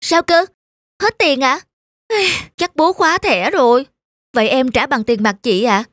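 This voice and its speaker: A woman's voice: sits high, measured pace, a bit bright, no room sound. A woman voicing a spoiled grown-up son in his twenties in a cartoon drama, whiny and pleading. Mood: flustered.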